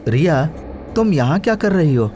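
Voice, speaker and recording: A man's voice: a little high, big pitch swings, moderate pace, a little mellow, slight background noise. An actor playing a worried, cautious young man who is protective of his friend in a horror story. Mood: concerned.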